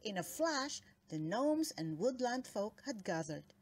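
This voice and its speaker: A woman narrating a children's story aloud in an expressive, dramatic read-aloud style with deliberate phrasing. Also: fairly high pitch. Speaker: storybook reader.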